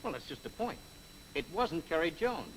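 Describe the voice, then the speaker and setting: A man's voice: fairly high pitch, big pitch swings, normal speed. A man playing a reflective mentor figure in a 1940s training film, speaking about a former pupil. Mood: musing. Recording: old film soundtrack, faint noise.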